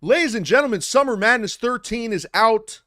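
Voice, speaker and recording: A man's voice: very high voice, expressive, moderate pace, sharp tone, no echo. A man chatting casually on a livestream about battle rap, rambling loosely with many restarts and filler like "man" and "bro", recorded on a studio dynamic mic at a home setup. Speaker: streamer and battle rap commentator. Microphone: studio dynamic mic through audio interface.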